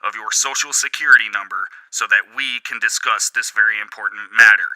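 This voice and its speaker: Man acting a sharp, forceful, smug debt collector. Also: fairly low pitch, fairly quick, no echo, pitched above the performer's own voice, rough recording. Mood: forceful, relishing. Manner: formal scripted phone patter, savoring each syllable. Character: smug male debt collector for the devil, officious. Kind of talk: dramatic audiobook character reading.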